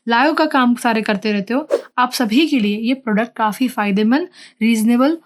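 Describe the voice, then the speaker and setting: A woman with an Indian accent and a distinctly high-pitched voice presents a product review. Her delivery is conversational and explanatory, addressed straight to the viewer as she demonstrates how things work. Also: normal speed. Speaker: product reviewer and channel host.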